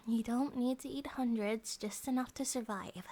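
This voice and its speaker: A woman voicing a gentle, affectionate monster-girl bear wife in a soft ASMR comfort roleplay, often dropping to a whisper. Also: a little high, fairly even intonation, moderate pace, close to an everyday voice. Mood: tender, caring.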